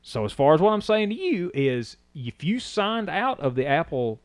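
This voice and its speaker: A man's voice: a little high, very animated, moderate pace, dry recording. A man, chatting on a podcast as a co-host and telling a rambling story. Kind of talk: casual chat.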